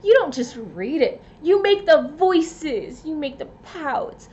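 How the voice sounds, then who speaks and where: A woman reading scripted advice as a presenter, with careful, expressive emphasis and playful asides. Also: high-pitched, slow, muffled recording. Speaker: writer and presenter giving tips.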